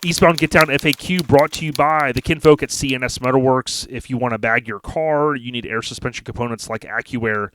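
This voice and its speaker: A male podcast host with a mid-pitched voice, recorded close and dry. He chats casually and slips into sponsor reads, talking in a folksy, slangy manner. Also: a little fast, hardly any pauses, a bit bright, no echo.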